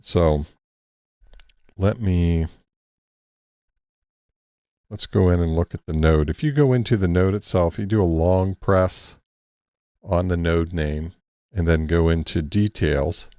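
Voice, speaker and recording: A man hosting a casual ham radio live stream, thinking aloud in a halting way with many restarts as he walks through a map on screen. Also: deep voice, warm tone, no room sound, studio quality. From Texas.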